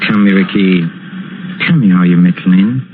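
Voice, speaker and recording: An actor playing a fawning, obsessive older boxing trainer in a vintage radio drama, wheedling and stammering with a quiet menace. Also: male voice, sits low, moderate pace, natural voice. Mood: soft, sinister. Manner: wheedling, hesitant, stammering, with nervous chuckling. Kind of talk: 1940s radio drama acting. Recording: dull, noisy old radio recording.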